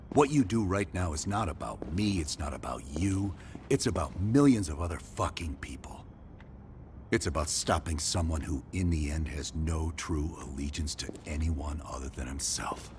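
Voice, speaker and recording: A hard-nosed CIA handler. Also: male voice, expressive, moderate pace, a little hiss or hum. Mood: intense. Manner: low, firm and pressing, drops to a quiet intense tone. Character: hard-edged male CIA officer, commanding and manipulative. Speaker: CIA handler leading a squad. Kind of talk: video game cinematic acting. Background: music.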